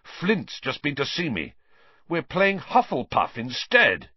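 A man's voice: mid-range voice, big pitch swings, moderate pace, breathy edge, no echo, dull audio, pitched above the performer's own voice. A man reading a storybook, voicing an intense, anxious, bossy teenage team captain in an urgent, emphatic manner. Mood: angry.

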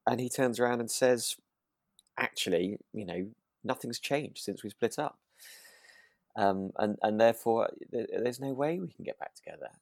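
A man co-hosting a relaxed discussion podcast, thinking aloud in a hesitant, rambling way. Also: mid-range voice, moderate pace, creaky, no echo, clean studio sound. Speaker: podcast co-host. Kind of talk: relaxed chat reviewing a radio drama.

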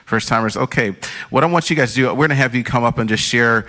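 A man's voice: mid-range voice, quick pace, sharp tone, big-room sound. A man giving a sermon from a church stage, conversational, with many fillers and restarts. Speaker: pastor.

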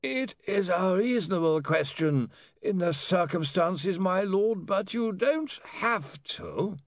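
A man acts out a gloomy legal adviser in a storybook. The voice sits high and drags reluctantly through careful, deferential phrases, in a dry recording. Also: animated, no echo, exaggerated voice. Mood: measured. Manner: reluctant, deferential, measured, drawn-out.

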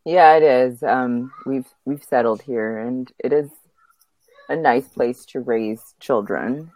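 A woman's voice: extremely low pitch, slow pace, a little mellow, low-quality recording. A woman in her thirties from Canada, born in Uganda, talking casually as a podcast interview guest.

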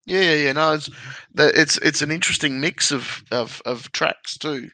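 A man hosting a casual podcast, chatty and quick to laugh at his own stories. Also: a little high, pushed and bright, no echo. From Australia. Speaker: podcast host.

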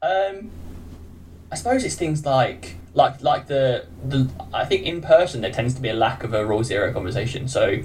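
English guy, easy podcast guest, self-mocking and laughing, middle pitch, faint ambience behind. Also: lively intonation, moderate pace, a little mellow, slight background noise, rough recording. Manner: chatty, self-deprecating, laughs often. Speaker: podcast guest, game streamer. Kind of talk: casual chat.

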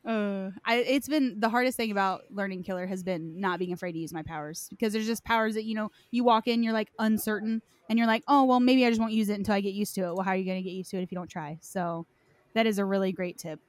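A woman's voice: mid-range voice, steady tone, fast, no echo. A woman hosting a gaming podcast, chatting informally and with some self-deprecation. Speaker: podcast host. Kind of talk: casual gaming chat.

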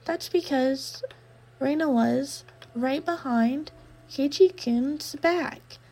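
Creepy-sweet teen girl, high and syrupy, performed by a woman reader. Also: very slow, mellow, heavily acted. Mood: eerie sweet. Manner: singsong, then pleading in pain. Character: sweet creepy teenage girl, clingy and menacing.

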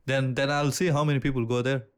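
A man with an Indian accent, co-hosting a casual podcast chat, relaxed, swearing freely and often restarting his sentences. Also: medium pitch, fast, clean tone. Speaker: podcast co-host.

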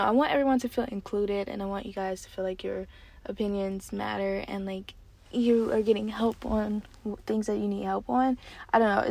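A nineteen-year-old woman hosting her own podcast, chatting casually and excitedly with lots of fillers from a parked car. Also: mid-range voice, creaky, no room sound. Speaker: podcast host. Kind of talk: casual solo podcast chat.

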